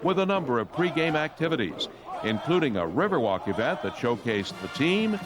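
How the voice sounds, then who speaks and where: A man's voice: on the high side, big pitch swings, a little fast. A man reading scripted newsreel narration as the narrator. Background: music.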